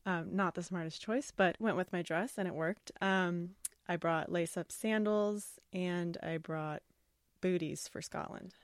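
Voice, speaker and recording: A woman's voice: fairly low pitch, steady tone, unhurried, no echo, studio quality. A woman from California co-hosting a podcast in casual chat, sharing practical tips. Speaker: podcast co-host.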